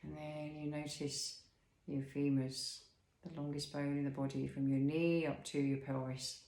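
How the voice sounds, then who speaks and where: A man leading a guided body scan as an instructor, speaking in a soothing, unhurried way with pauses and gentle questions. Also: on the high side, little pitch movement, gravelly, echoey room, low-quality recording.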